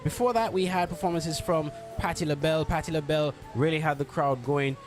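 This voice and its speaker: A man's voice: a little high, a little fast. A man giving an unscripted news report on location at a music festival. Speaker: field reporter. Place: at a live music festival at night. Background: festival concert music.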